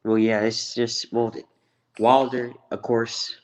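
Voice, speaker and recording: A man's voice: medium pitch, little pitch movement, slow pace, darkish tone. An American man from Texas giving blunt, profane opinions in a casual, slangy sports chat. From Texas, United States.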